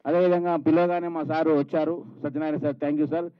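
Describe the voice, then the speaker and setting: A man with a Telangana Telugu accent speaks from a stage in a fairly high voice. He addresses people by name in an informal speech of thanks. The sound is dry and somewhat muffled. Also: flat delivery, fast, dry recording. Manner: calls people out by name, conversational. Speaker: film producer. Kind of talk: informal public thank-you speech at a film event. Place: film trailer launch stage.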